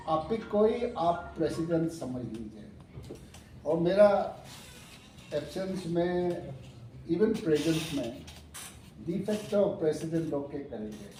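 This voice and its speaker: Slow, mellow-voiced man in his seventies. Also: a little high. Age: 70s. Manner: halting and unhurried, with pauses and self-corrections. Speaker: chairman addressing a committee meeting. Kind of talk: formal address to a meeting. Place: hotel meeting hall. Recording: echoey hall, mic far off, faint room noise.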